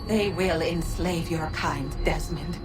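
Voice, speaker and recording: Low, grave ancient goddess. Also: female voice, over music. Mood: urgent. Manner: solemn, measured, formal. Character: grave, regretful female ancient godlike being, stern and pleading. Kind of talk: dramatic video game acting.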